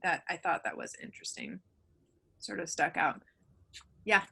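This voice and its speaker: A woman's voice: lowish voice, huge pitch swings, normal speed, gravelly, sharp tone, breathy edge. A woman speaking thoughtfully on a panel discussion, with some fillers. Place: video call.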